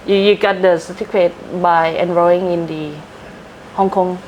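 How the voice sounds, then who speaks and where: A woman's voice: lowish voice, steady tone, moderate pace, a little mellow, muffled recording, slight background noise. A woman with a Thai accent hosting a casual interview in a polite, effusive way. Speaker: host.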